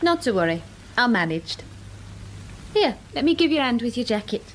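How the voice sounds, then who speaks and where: High-voiced, practical chip shop girl. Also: sing-song, moderate pace, a little hiss or hum, poor audio. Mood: caring, puzzled. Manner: colloquial, practical. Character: plain-spoken, comforting chip shop assistant, a woman. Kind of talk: radio drama dialogue.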